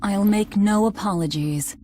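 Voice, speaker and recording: A woman's voice: mid-range voice, unhurried, noisy background, natural voice. An actress playing a grim, guilt-laden young woman warrior in a video game, terse and resolute. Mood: cold resolve. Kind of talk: dramatic video game voice acting.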